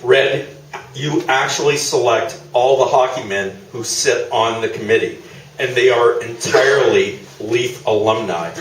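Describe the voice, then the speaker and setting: A man's voice: medium pitch, fairly even intonation, measured pace, lightly acted. A man playing a skeptical, needling sports interviewer in a comic radio play, dry and challenging. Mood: dryly skeptical. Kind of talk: comic radio play performed live on stage. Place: small theatre, live performance. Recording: echoey theatre, distant mic, noisy.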